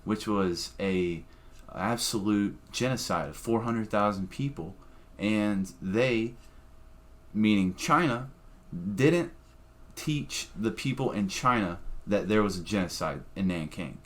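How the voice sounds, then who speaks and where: Brief: comedian guest, blunt and opinionated, swears freely, lowish voice. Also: male voice, expressive, slow. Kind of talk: casual podcast chat.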